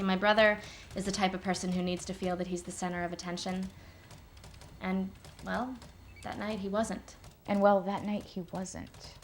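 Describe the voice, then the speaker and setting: An actress playing a guarded adult daughter recounting a family fight as if in a police interview. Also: female voice, fairly low pitch. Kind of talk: police interview monologue, film acting. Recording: clear background noise with typing.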